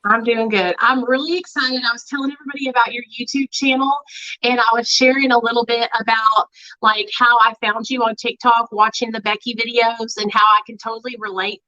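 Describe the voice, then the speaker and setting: A woman hosting a casual livestream, chatting in an excited way with plenty of filler words. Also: fairly high pitch, brisk. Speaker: livestream host. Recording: muffled lo-fi livestream audio.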